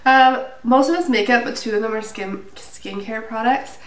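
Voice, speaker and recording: A woman's voice: fairly high pitch, moderate pace, fairly bright tone. Congested, nasal woman in a roomy room. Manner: chatty, with fillers and small laughs. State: a little out of breath. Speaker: beauty vlogger. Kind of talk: casual product review to camera. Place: small indoor room, plain wall. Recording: roomy, slightly distant with faint hiss.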